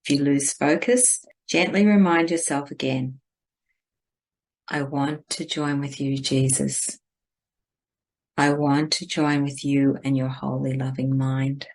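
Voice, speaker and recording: A woman leading a guided spiritual meditation in a gentle, deliberate, instructing manner. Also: rumbling low, fairly even intonation, rough recording.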